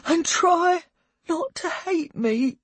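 A woman playing a desperate mother in an audiobook, pleading with her young son in a halting, sobbing, breathy voice. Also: sits high, lively intonation, dry recording, voice pushed up. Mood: tearful, pleading.

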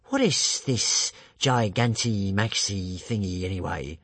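Slow, hissing cave monster. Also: male voice, lowish voice, fairly bright tone, breathy edge, no room sound, pitched below the performer's own voice, full character voice. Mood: hurt, grumpy. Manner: slow, drawn-out, musing, simple broken grammar. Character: huge slow-witted male tentacled cave monster, menacing, sulky. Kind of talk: children's audiobook character voice.

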